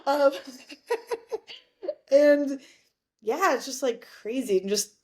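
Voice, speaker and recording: A woman's voice: sits high, sing-song, very slow, a little mellow. A young adult woman chatting casually as a podcast guest, earnest and rambling, with slang and lots of "like". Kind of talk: casual chat.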